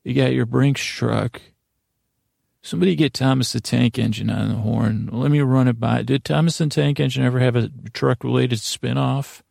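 A man hosting a podcast with a rambling, improvised monologue, halting and often interrupting himself, in a creaky, gravelly voice. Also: mid-range voice, fairly even intonation, darkish tone, no room sound, clean studio sound. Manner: meandering, halting, self-interrupting. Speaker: podcast host.

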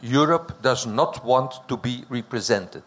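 A man's voice: mid-range voice, measured pace, fairly bright tone. A man speaking on a panel, arguing in emphatic, declarative statements and repeating phrases to drive points home. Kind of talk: argumentative discussion.

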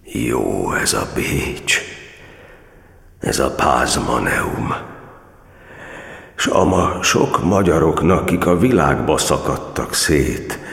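An old exiled cardinal in a radio drama, with an extremely deep, badly husky voice. He declaims solemn verse with anguish and unbending faith, moving between impassioned oratory and breathy murmurs. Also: animated, long pauses, a bit bright, exaggerated voice. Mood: resolute, reflective. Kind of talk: theatrical radio-play monologue in solemn verse.

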